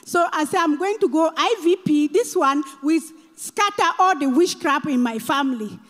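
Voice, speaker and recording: A woman's voice: high voice, animated, normal speed, fairly bright tone, in a large hall. A woman from Cameroon giving her personal testimony in an earnest, hesitant way, with filler sounds between her thoughts. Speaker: church member giving testimony.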